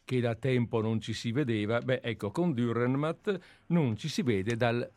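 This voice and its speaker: A man hosting live radio from a studio, talking in an easy, rambling way and drifting into anecdotes. His voice is middle-pitched, and the sound is close and dry. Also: measured pace, a little mellow, no echo. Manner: chatty, digressive storyteller. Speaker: radio host.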